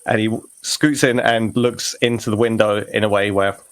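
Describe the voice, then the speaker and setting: A man's voice: fairly low pitch, flat delivery, moderate pace. Casual man narrating his game character. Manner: loose, conversational, trailing phrases. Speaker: tabletop game player.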